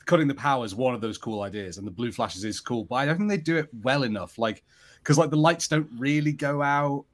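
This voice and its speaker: A man's voice: medium pitch, brisk. A man giving casual, thinking-aloud film commentary as a panelist among friends, with critical reservations. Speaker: podcast panelist.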